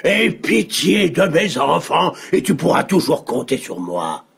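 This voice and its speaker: An anxious, protective mother bear from a fairy-tale cartoon. The voice is gravelly and slow, with huge pitch swings as it pleads. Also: wildly swooping pitch, fairly bright tone, full character voice. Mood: pleading.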